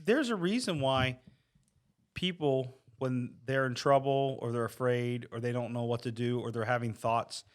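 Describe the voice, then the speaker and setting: A man's voice: medium pitch, normal speed. A man speaking earnestly in a casual religious discussion, often checking that listeners follow him. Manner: earnest, checks in with "you know what I mean". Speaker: faith podcast panelist.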